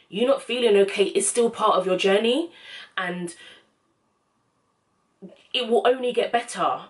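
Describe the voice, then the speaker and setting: A young woman gives casual, encouraging advice in a slangy, informal vlog style. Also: mid-range voice. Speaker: self-help vlogger.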